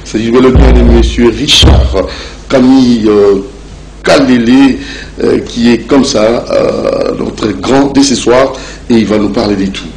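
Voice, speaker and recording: A man with a Congolese accent hosting a television interview in halting, wordy phrases. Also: medium pitch, a little mellow, slight background noise, poor audio. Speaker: interviewer, show host.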